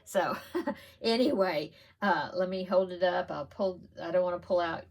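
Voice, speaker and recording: A woman talks through her crochet projects in a relaxed, rambling way, full of ums and little giggles. One side of her nose is stuffed up, which gives her voice a slightly blocked sound. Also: on the low side, unhurried. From Texas. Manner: chatty, giggly, rambling with frequent fillers. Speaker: crochet podcast host. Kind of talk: casual show-and-tell chat.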